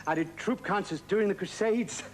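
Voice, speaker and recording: A man's voice: high-pitched, fairly quick, a little hiss or hum, lightly acted. An actor playing a wisecracking ghost who was once a court jester, a brash vaudeville comic who laughs at his own one-liners. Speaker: comic ghost character in TV variety special. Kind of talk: 1970s TV variety sketch comedy.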